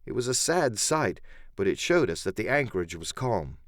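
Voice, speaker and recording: A man's voice: lowish voice, big pitch swings, normal speed, a bit bright, no echo, clean studio sound. A man giving a dramatic first-person narration of an adventure story as an audiobook narrator.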